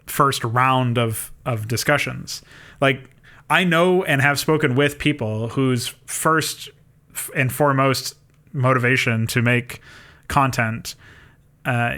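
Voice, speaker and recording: A man's voice: medium pitch, pushed and bright. A man co-hosting a casual gaming podcast, opinionated and wordy, talking analytically with the odd mild swear. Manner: opinionated, wordy, mildly profane. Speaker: podcast co-host. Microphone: mic on an online voice call.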